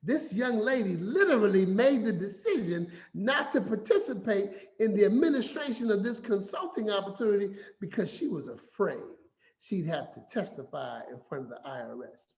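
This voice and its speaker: A man giving a finance talk as an animated, playful storyteller who acts out quotes. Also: high-pitched, moderate pace. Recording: echoey room, slightly dull sound.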